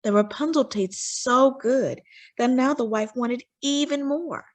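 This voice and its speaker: A woman's voice: high voice, unhurried. A woman reading a children's storybook aloud as narrator, phrasing for young listeners with dramatic emphasis.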